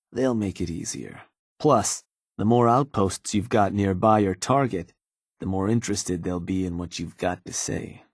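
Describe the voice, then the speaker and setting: A raider gang's fixer in a video game, low-voiced and rough with husk. He talks like a wry, streetwise middleman briefing his boss, easygoing but all business. The sound is close and dry. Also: no room sound. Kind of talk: in-game character dialogue, conversational delivery.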